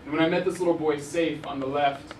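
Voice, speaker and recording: A man's voice: on the high side, fairly even intonation, fairly quick. A young man giving a casual slide lecture with frequent ums and restarts, heard from a distance in a university lecture hall. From Arizona, USA. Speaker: journalist giving a talk. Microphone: room mic at a distance. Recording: echoey hall, mic far off, noisy.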